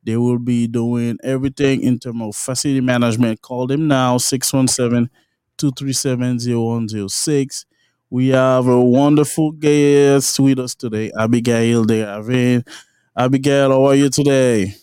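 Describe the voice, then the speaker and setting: A man hosts a podcast in an upbeat, encouraging way. His voice is smooth and resonant. It is recorded close to the mic, with a dry sound and no room echo. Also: mid-range voice, fairly even intonation, moderate pace, dark tone. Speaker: podcast host. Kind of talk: casual podcast interview, sponsor read.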